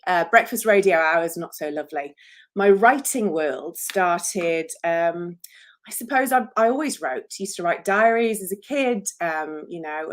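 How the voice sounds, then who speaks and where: A woman in her forties with an English accent, an author chatting casually on a solo livestream, self-deprecating and jokey, with frequent ums. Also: lowish voice. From England. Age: 40s.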